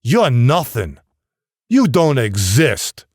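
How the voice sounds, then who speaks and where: A man's voice: medium pitch, sing-song, takes it slowly, no room sound, studio quality, heavily acted. A man voicing a harsh, contemptuous father berating his young son in an audiobook, scornful and accusing. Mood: scornful anger. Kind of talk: audiobook character dialogue.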